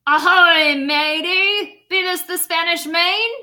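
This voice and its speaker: A woman voicing a loud, gruff male pirate captain in a read-aloud picture book. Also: high voice, lively intonation, normal speed. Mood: bellowing. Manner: bellowing pirate talk.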